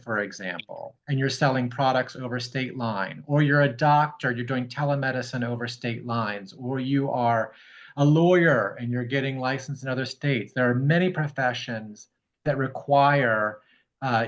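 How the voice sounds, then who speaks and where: A man's voice: medium pitch, moderate pace, muffled recording. A man giving matter-of-fact, explanatory advice to a caller on a group video call. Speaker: notary and fingerprint roller, co-host.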